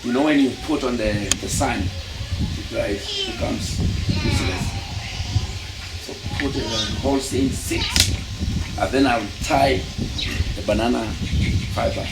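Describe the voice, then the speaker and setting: A man with a Kenyan accent, a musician recounting his life story in an unhurried, reminiscing interview. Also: mid-range voice, creaky. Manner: unhurried, reminiscing, repeats himself. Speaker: musician and instrument technician. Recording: roomy, distant, loud background noise.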